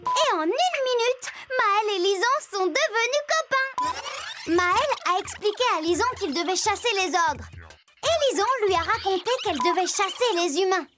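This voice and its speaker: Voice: extremely high pitch, big pitch swings, normal speed. A performer voicing a cheerful, imaginative little girl who chats and tells stories in a children's cartoon. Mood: storytelling. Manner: chatty, childlike. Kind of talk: animated children's cartoon storytelling. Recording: music behind the voice. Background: children's music.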